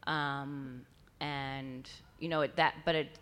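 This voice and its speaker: Woman from New York, choreographer, live panel, low voice, dry, self-deprecating, matter-of-fact. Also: steady tone, takes it slowly, fairly bright tone, smooth. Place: stage before a live audience.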